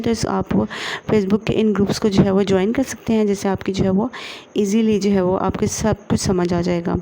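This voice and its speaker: A Pakistani woman gives an informal tutorial in a mellow, fairly flat voice. Her delivery is halting and padded with repeated filler phrases. There is light background noise with some clicking on the recording. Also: medium pitch, low-quality recording. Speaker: tutorial presenter. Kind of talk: informal screen-share tutorial.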